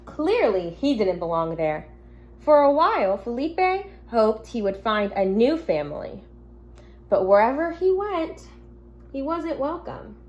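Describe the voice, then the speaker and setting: A woman teacher speaking gently and simply to young children, reading a picture book aloud at home with casual asides. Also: a little high, sing-song, unhurried, dull audio. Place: at home in an apartment. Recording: noticeable background noise, home recording.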